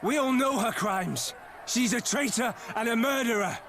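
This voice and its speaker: A man's voice: very high voice, normal speed, fairly bright tone. A man playing an angry townsman in a video game mob, heated and confrontational. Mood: accusing, furious. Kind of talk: dramatic video game cutscene acting. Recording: noisy crowd ambience behind.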